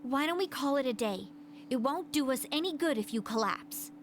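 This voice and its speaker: High, lively, caring teenage girl. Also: normal speed, slight background noise. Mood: concerned. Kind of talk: video game voice acting.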